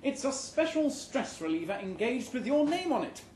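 Male reader as gushing female HR manager: high, loud, sing-song, merry corporate cheer, live story reading, roomy sound. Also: big pitch swings, moderate pace. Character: gushing, merry female head of human resources. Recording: roomy, noisy live venue recording.

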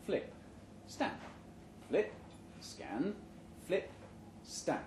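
A man giving a live, measured, deadpan reading of satirical fiction at an indoor venue. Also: on the high side. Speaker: short story reader. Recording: roomy, noisy live venue recording.

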